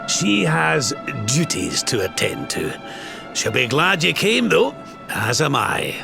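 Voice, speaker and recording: An actor playing a sly, teasing old man in a video game, with a gravelly voice. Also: on the high side, wildly swooping pitch, takes it slowly, over music, heavily acted. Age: older. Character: wise, mischievous old man, grandfather figure.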